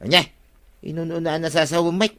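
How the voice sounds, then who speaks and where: Boastful, scheming rural husband played by a male actor, Ilocano accent, bright forceful voice, radio comedy. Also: a little high, fairly even intonation, fairly quick, natural voice.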